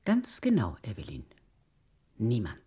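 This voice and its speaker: Husky, steadfast older foster mother. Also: extremely low pitch, huge pitch swings, measured pace, warm tone, heavily acted. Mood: insistent, hurt. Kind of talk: German radio drama acting.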